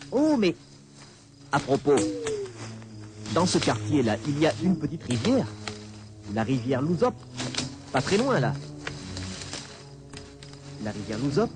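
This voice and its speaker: A man's voice: mid-range voice, very animated, unhurried, pauses often, over music. A man acting a chatty, carefree mechanic in a radio drama, exclaiming and repeating himself as he talks to himself. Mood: fretting, exclaiming. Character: chatty young male mechanic, carefree, delighted by his luck.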